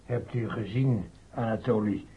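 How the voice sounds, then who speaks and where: An actor playing an excitable old musician in a radio play, lecherous and stammering, with a husky, creaky voice. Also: male voice, on the low side, unhurried. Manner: eager, stammering, repeats himself. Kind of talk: radio drama acting. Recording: dull lo-fi radio drama recording.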